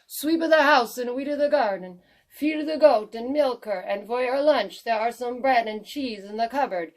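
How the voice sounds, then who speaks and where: A woman's voice: on the high side, moderate pace, close to an everyday voice. A woman voicing a bossy but kindly storybook witch, instructing with emphasis. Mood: firm, instructing.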